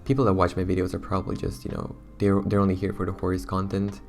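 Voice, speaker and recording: Young man with a hoarse, gravelly voice. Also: deep voice, monotone, fast talker, darkish tone, soft background music. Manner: chatty, rambling, self-conscious. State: hoarse, losing his voice. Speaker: YouTuber. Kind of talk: casual talk to camera.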